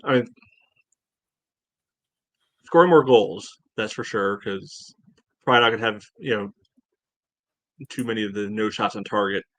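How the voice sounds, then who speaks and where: Lowish-voiced man, dry close sound, podcasting. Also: measured pace, pauses often, a bit bright, no echo. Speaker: podcast co-host. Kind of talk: casual sports analysis chat.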